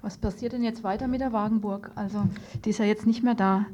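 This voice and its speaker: A woman hosts an informal radio interview in a relaxed, mid-pitched voice. She words things tentatively, thinking as she goes. The recording is dull, with a little noise behind her. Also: quick pace. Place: radio studio. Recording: dull lo-fi radio tape, noisy.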